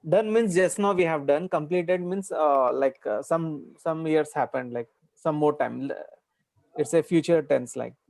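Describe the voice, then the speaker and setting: A man's voice: a little high, a little slow, gravelly, a little mellow, dry recording. A young man with an Indian English accent, a student giving hesitant answers full of "uh" and "like" over a video call.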